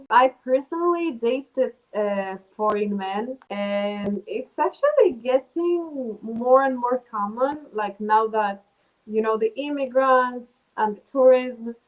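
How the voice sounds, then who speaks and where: A woman in her twenties from Chile, answering interview questions in a relaxed, chatty way with lots of fillers. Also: fairly high pitch, lo-fi sound. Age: 20s. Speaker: guest.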